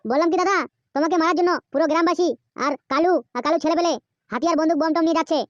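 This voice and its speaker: A woman voicing a whiny, crude, hot-headed male henchman in a comic cartoon, with a squeaky, wheedling voice. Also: fairly even intonation, no room sound, dull audio. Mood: cheeky. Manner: wheedling, complaining, fast-talking.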